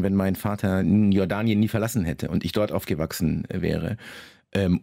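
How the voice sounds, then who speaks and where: A man being interviewed about his novel, answering in a measured, reflective way with frequent pauses and restarts. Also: sits low, gravelly, dark tone, no room sound, clean studio sound. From Germany, Lower Saxony.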